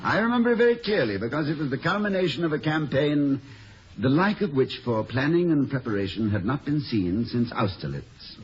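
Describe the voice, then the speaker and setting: Man acting urbane middle-aged college president, animated delivery, mock-pompous wit, hissy vintage radio recording. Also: mid-range voice, brisk, lo-fi sound, lightly acted. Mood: playfully boastful. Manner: wordy, dryly witty, mock-pompous. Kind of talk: 1950s radio comedy-drama acting.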